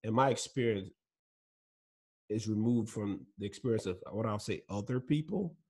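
Husky low-voiced man from Nigeria. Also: moderate pace, darkish tone. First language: Igbo. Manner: earnest storyteller, laughs between points. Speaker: guest. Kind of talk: casual interview talk.

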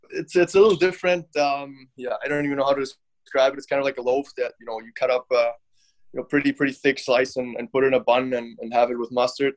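Flat-toned hockey player in casual interview. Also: male voice, mid-range voice, fairly quick, rough recording. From born in Finland, German citizen. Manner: relaxed, hesitant, many fillers and restarts.

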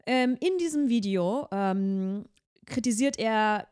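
A woman's voice: fairly high pitch, expressive, slow, a little mellow, clean tone. A young woman co-hosting a podcast in casual chat, rambling with lots of fillers and restarts. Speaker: podcast co-host.

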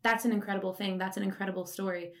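A woman's voice: medium pitch, fairly even intonation, rapid-fire. A woman interviewing a guest as host, speaking informally in an encouraging, reassuring way. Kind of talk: casual conversational interview.

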